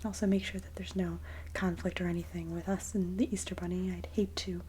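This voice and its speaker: Woman, ASMR roleplay, kindly Mrs. Claus, breathy near-whisper, dark mellow tone, gentle and polite, close mic, faint noise. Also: lowish voice, little pitch movement, a little fast. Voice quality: soft, breathy, often whispery. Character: kindly Mrs. Claus interviewing a job applicant. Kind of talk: soft-spoken ASMR roleplay interview.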